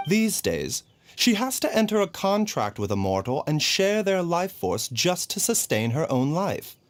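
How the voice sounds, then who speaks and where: A man playing a calm, scholarly young physician in an anime game, speaking in a measured, formal, explanatory way. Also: on the high side. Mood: measured, grave.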